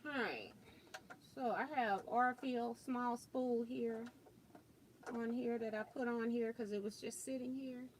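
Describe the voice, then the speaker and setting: A woman hosting a live sewing stream, chatting casually and thinking aloud as she works. She has a loud voice and chuckles often. She is recorded on a laptop mic about four feet away in a home sewing room. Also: a little high, fairly even intonation, a little slow, slight background noise, rough recording. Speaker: quilting and sewing livestream host. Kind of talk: casual live demo chat. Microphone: built-in laptop mic about four feet away.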